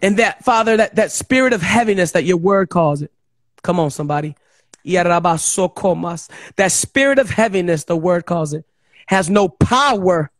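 A man's voice: high voice, a little slow, dry recording, studio quality. A man hosting, speaking in fervent, emphatic preacher style as he prays and exhorts over a guest. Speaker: host praying over a guest. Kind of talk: fervent impromptu prayer and exhortation.

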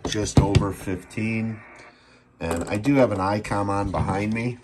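A middle-aged man with a low-pitched voice walks viewers through a piece of gear in a casual seller's demo. He is recorded indoors on a handheld phone, with clear background noise. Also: unhurried. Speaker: radio seller and restorer demonstrating a CB radio. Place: small indoor room at a workbench.

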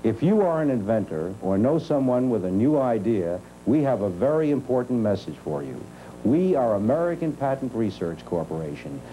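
A man's voice: medium pitch, very animated, normal speed, warm tone, faint music behind, low-quality recording. A man reading a scripted direct-response television commercial. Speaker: commercial announcer.

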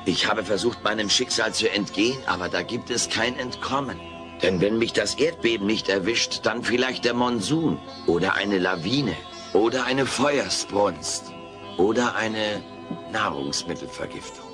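A man's voice: fairly low pitch, unhurried, music in the background, close to an everyday voice. A man playing a wisecracking, theatrical alien creature in a German comedy audio play, talking in a pompous, melodramatic way. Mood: philosophical. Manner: pompous, melodramatic, mock-philosophical.

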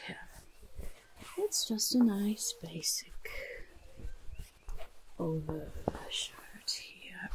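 A woman voicing a fussy, kindly goblin disguise master in a whispery, breathy, coaxing ASMR roleplay, close to the microphone. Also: fairly low pitch, a little hiss or hum, low-quality recording. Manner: coaxing, gentle, hesitant asides, repeated words. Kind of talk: soft-spoken ASMR roleplay.

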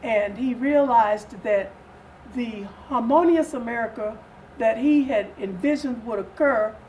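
An older woman speaking as an interview guest in a measured, deliberate way with pauses. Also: on the high side, darkish tone. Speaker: guest from a readers theatre group. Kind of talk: interview conversation. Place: indoor sitting room set. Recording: distant mic with faint room noise.

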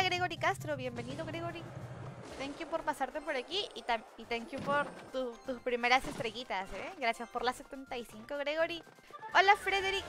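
A young woman live-streaming a game from home, chatting casually, thanking viewers and reading their messages aloud with frequent giggles. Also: high-pitched. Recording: clear game music underneath. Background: game music.

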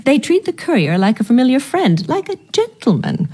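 A woman's voice: sits high, big pitch swings, moderate pace, darkish tone, slightly rough, exaggerated voice. A woman playing a haughty, disapproving upper-class aunt in a period radio drama, speaking with formal, dry disdain. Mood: scornful.

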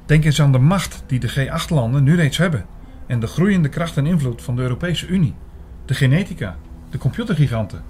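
An actor in a radio drama playing an earnest investigator who argues insistently and with literary phrasing for his dark convictions. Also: mid-range voice, expressive, a little fast, slight background noise, natural voice. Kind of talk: radio drama narration and dialogue.

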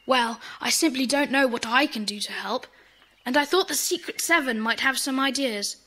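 A woman's voice: high-pitched, moderate pace, sharp tone, low-quality recording. A woman playing an earnest, kind-hearted schoolboy in a children's audio drama. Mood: worried. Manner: earnest, explanatory.

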